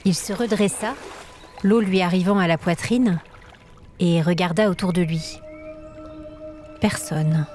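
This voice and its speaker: A woman's voice: lowish voice, unhurried, long pauses, a little mellow. A woman storyteller reading a scripted fantasy tale as dramatic narration. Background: music.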